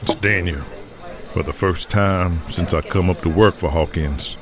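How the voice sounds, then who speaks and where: Very deep, halting, folksy male wrestler voiced by a man, music underneath. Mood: troubled. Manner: quiet, halting, folksy grammar. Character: weary male wrestler and booker, troubled.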